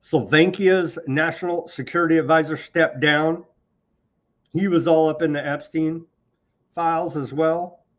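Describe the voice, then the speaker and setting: A man's voice: on the high side, steady tone, measured pace, lo-fi sound. Sarcastic man ranting on news. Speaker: political commentary host. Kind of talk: live online news commentary.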